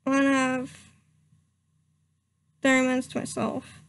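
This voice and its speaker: Young woman streamer, high pitched and unhurried, doing a giggly mock-dramatic reading that trips over words. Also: completely flat delivery, creaky.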